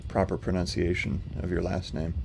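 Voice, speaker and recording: A man hosting a live stream, answering chat questions in a calm, level, unhurried way with a slightly rough voice. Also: low voice, a little hiss or hum. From not from North Carolina. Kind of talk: casual livestream commentary answering chat. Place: home.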